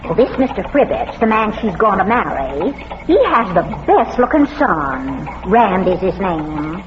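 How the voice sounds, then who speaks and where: Radio drama role: homespun small-town busybody, very animated, noisy old recording. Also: female voice, medium pitch, unhurried, muffled recording. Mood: chatty, gossipy. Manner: gossipy, homespun. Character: chatty, folksy small-town gossip.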